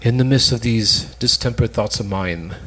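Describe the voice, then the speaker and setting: A man's voice: on the low side, normal speed, fairly bright tone, slightly rough. A man playing a lovesick, melancholy suitor, earnestly declaiming in an amateur cold reading of an old stage play. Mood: pleading, earnest.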